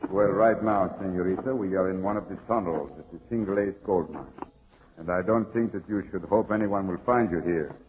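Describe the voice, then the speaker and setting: An actor playing a menacing, mock-polite masked bandit in old-time radio melodrama, on a muffled recording. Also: male voice, low voice, brisk, close to an everyday voice. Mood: mock-polite menace. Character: masked bandit, suave, sadistic, menacing male villain.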